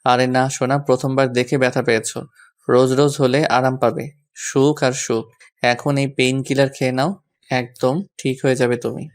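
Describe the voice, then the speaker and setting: A man's voice: medium pitch, flat delivery, moderate pace, close to an everyday voice. A man playing a doting young lover who coaxes and teases affectionately in a romantic audio story. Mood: tender, coaxing. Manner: coaxing, affectionate, apologetic. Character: doting, teasing young man soothing his sweetheart.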